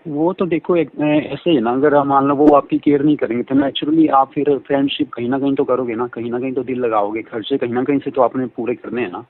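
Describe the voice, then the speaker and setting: A man's voice: medium pitch, a little fast, gravelly, few pauses. A man speaking effusively and repetitively in a flirtatious, talkative conversation over a phone line. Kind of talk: flirtatious phone conversation in Hindi mixed with English. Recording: band-limited phone call audio.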